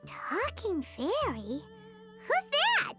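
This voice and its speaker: A woman voicing a small, cheeky, bossy fairy companion in an anime-style video game, with a squeaky childlike voice. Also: huge pitch swings, very slow, over loud music, exaggerated voice. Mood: excitable. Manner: refers to self in third person, exclamatory.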